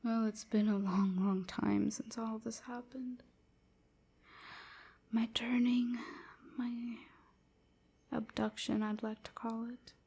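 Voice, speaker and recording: A woman playing a melancholy enslaved vampire in an intimate audio roleplay, gentle and confiding, with a breathy, often whispered voice. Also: fairly high pitch, little pitch movement, full character voice. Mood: haunted. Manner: slow, hesitant, softly confiding.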